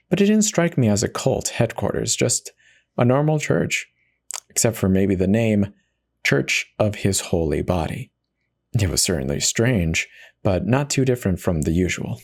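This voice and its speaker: A man's voice: lowish voice, a little mellow. A man voicing a self-justifying, conversational narrator who casually confesses in a first-person dramatic horror reading. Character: confessional devout man turned self-eater, casually rationalizing.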